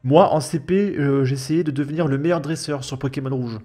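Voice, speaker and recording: A man with a French accent and a fairly high voice reacts to a video in loose, colloquial speech. He is mocking and emphatic as he comments. Music plays softly behind him. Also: steady tone, a little fast. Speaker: host of a reaction video channel. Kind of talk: casual spoken commentary on a video. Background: background music.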